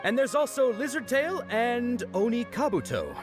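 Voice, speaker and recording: An actor voicing a jolly festival knight in a video game, given to theatrical proclamations and friendly patter for children. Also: male voice, very high voice, big pitch swings, moderate pace, music in the background. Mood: playful.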